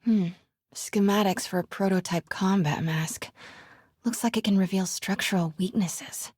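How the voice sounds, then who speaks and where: A woman playing a determined young reporter in a video game, breathy and often hushed, with a wry way of talking to herself. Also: medium pitch, a little slow, dry recording, studio quality. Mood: intrigued. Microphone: close, dry studio mic.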